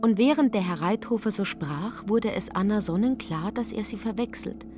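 A woman's voice: medium pitch, expressive, normal speed, music in the background. A woman reading literary narration expressively, slipping into characters' lines. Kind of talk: scripted literary narration for radio.

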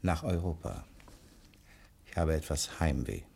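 A man acting a confident, adventurous bookkeeper in a 1960 German radio drama, speaking briskly and persuasively with a commanding manner. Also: extremely low pitch, animated, gravelly, a little mellow, breathy edge, full character voice. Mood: brisk, instructing.

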